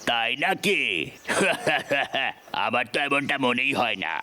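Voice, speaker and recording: দাম্ভিক কার্টুন কুংফু মাস্টার. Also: male voice, medium pitch, expressive, slow, a little hiss or hum, heavily acted. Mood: condescending. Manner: bragging, pompous. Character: boastful male animal sword-wielding kung fu master. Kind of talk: dubbed cartoon acting. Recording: loud music behind the voice.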